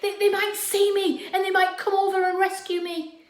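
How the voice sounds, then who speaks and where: A woman's voice: very high voice, level tone, normal speed, pitched above the performer's own voice. A woman voicing a scared, stranded girl in a children's story, pleading earnestly to be rescued. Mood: anxious, hopeful. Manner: pleading, earnest, calling out loudly. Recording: echoey room.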